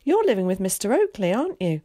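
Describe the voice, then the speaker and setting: A woman voicing a confident, talkative young schoolboy who chatters quickly and brightly in a well-spoken way. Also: mid-range voice, sing-song, no room sound, studio quality, voice pushed up. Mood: eager.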